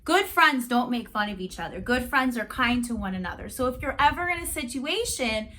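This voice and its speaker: Teacher lady reading a kids story out loud, super lively up and down, bright voice. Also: fairly high pitch, fairly quick. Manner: animated, teacherly, with asides to young listeners. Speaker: teacher reading a picture book to children. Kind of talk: expressive read-aloud storytelling for children.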